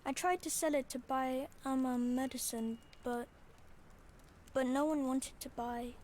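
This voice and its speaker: A woman's voice: high-pitched, steady tone, a little mellow, a little hiss or hum. A woman playing an earnest, worried child who speaks hesitant, in a video game. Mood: sad, worried. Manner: hesitant, halting. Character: worried young child, earnest, minding a shrine alone. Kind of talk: scripted game dialogue.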